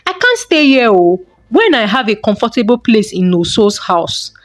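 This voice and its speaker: A woman's voice: on the high side, very animated, normal speed. A woman voicing a proud, scornful older mother in a folktale, emphatic and disapproving. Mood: scornful, dismissive. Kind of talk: folktale character dialogue.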